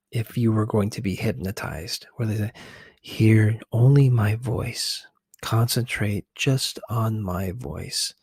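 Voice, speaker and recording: Slow-talking man, close and dry. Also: lowish voice, darkish tone, husky, no room sound. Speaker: ASMR video host. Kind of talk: casual ASMR commentary on film scenes.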